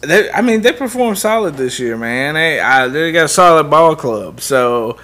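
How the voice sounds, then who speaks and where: A man co-hosting a casual podcast chat, telling stories with animated bursts and frequent laughter. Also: fairly high pitch, normal speed. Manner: animated storytelling, laughs often. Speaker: podcast co-host.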